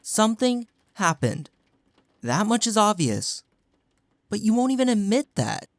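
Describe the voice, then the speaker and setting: A man's voice: sits high, sing-song, measured pace, no room sound, full character voice. A young man's voice in an intimate audio roleplay, recorded very dry. He is acting as a caring college best friend speaks casually and earnestly, with a playful, teasing streak, as if to one listener. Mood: exasperated, upset. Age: young adult.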